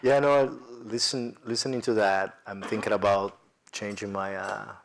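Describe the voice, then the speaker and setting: A man with a Brazilian accent talks as a panelist at a business event, his voice sitting fairly low. He is lively and animated, often pausing on fillers and restarting his sentences as he works through his points. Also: creaky. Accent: Brazilian Portuguese. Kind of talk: panel discussion.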